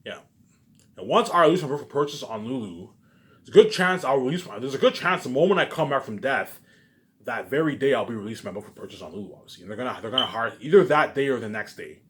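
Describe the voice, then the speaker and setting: Fast-talking man, rambling and repetitive. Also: medium pitch, animated, fairly bright tone. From Canada. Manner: repetitive, halting, with habitual trailing tags; emphatic, profane bursts dropping to muttered asides. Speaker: vlogger. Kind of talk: rambling informal monologue.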